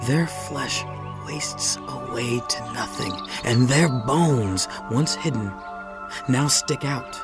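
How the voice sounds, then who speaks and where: An actor playing an earnest, righteous biblical orator in a dramatized audio Bible, speaking emphatically with deliberate, rhetorical pauses. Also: male voice, medium pitch, lightly acted. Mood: grave, admonishing. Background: music.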